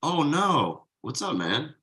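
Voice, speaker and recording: A man's voice: on the high side, very animated, takes it slowly, bright, forceful tone, full character voice. A man playing an exhausted, easygoing thirty-something slacker dad in a casual, hesitant comedy table read. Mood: friendly, concerned.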